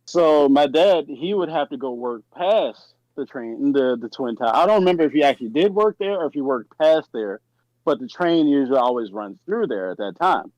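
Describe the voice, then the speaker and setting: A man's voice: a little high, darkish tone, no echo, dull audio. A man from New York co-hosting a live stream, telling personal stories casually and circling back to rephrase as he goes. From New York, United States. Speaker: live stream podcast co-host. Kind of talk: casual chat, personal storytelling.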